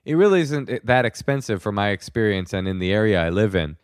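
A man's voice: fairly low pitch, animated, fast talker, no echo, studio quality, close to an everyday voice. A man playing a put-upon British woman makeup artist in a dramatic reading of texts, polite, clipped and firm. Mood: firm, apologetic. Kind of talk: dramatic reading of text messages.

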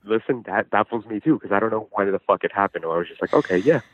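Male caller, very low register, halting and foul-mouthed casual talk, narrow telephone sound. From New Jersey. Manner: hesitant, profane. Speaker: phone-in caller. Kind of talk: casual chat, recounting own troubles. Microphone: phone call. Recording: muffled band-limited phone line.

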